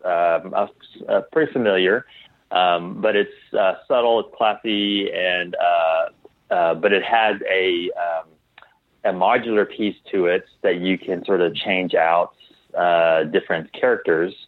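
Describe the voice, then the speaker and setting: A man speaking as a guest in a casual interview, in a halting, tentative way with trailing sentences and restarts, over a call. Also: low-pitched, steady tone, dry recording. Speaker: interview guest, clothing designer. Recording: tinny phone-like line.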